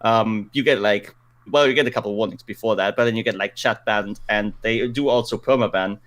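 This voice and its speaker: A man's voice: on the low side, brisk, a bit bright, poor audio. A man chatting casually as a co-host on a gaming podcast.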